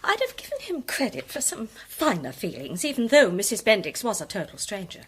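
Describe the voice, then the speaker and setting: An actress playing an outspoken, sardonic member of an amateur detective circle in a period radio drama, cool and pointed. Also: female voice, medium pitch, wildly swooping pitch, moderate pace, a bit bright, husky, heavily acted.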